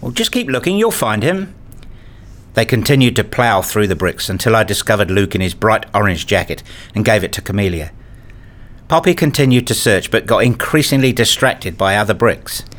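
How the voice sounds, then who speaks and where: Low-voiced man narrating a reflective story. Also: animated, moderate pace, a bit bright. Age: middle-aged. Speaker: narrator and lead actor playing a father. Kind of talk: scripted first-person story narration with acted dialogue.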